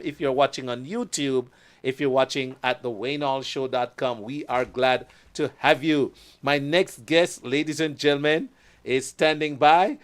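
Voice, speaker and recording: A man hosting a live talk show, energetic and hyping up his guest and partner stations. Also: fairly high pitch, smooth.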